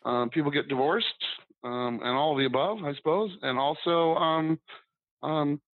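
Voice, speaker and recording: A man on a thin, phone-like line with a dry, close sound. He rambles through his points with frequent ums and restarts. His voice sits in a middle pitch. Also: normal speed, no room sound. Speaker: podcast guest, business broker. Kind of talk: casual podcast conversation.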